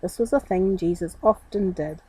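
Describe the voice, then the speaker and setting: A woman's voice: fairly low pitch, moderate pace, warm tone, breathy edge, rough recording. A woman reading a Bible story aloud to children, dramatically and addressing them directly.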